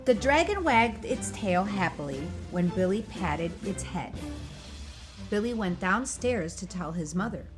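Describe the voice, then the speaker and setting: A woman reading a picture book aloud for children, in an expressive, animated and emphatic storytelling delivery. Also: medium pitch, measured pace. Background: music.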